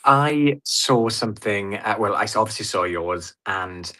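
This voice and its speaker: A man with a Welsh accent and a fairly low voice talks casually on a podcast. He gives frank, opinionated verdicts and is openly enthusiastic about what he liked. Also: expressive, a little slow, sharp tone. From Wales. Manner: blunt, opinionated, enthusiastic reviewer. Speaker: cruise creator guest.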